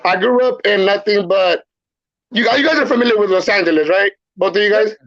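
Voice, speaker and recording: A man's voice: high-pitched, brisk, fairly bright tone. A man from El Salvador, a podcast guest telling his life story casually, using street slang and repeating phrases. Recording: muffled lo-fi sound.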